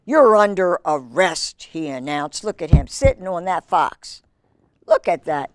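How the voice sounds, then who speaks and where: A woman hosting children's storytime, reading aloud and chatting in an expressive, gushing manner with fond asides. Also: lowish voice, measured pace, no room sound. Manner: gushing, expressive, exclaiming over pictures.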